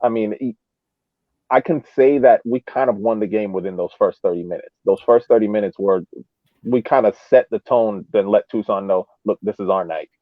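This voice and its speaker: A man's voice: on the low side, moderate pace, no echo. A man on a sports podcast panel, chatting and giving casual game analysis over a narrow, muffled connection. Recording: muffled narrow-band radio-like sound.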